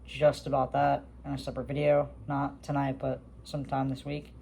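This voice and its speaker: A man's voice: mid-range voice, monotone, a little fast, creaky, mellow, breathy edge. A young man talking casually to his viewers, rambling with many fillers and restarts. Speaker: vlogger. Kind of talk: casual talk to camera.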